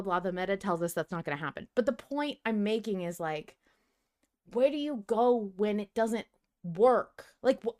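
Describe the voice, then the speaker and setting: Woman podcast host, chatting about fan stuff, emphatic, swears a lot, asks big questions. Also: medium pitch. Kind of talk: animated fan discussion of a story podcast.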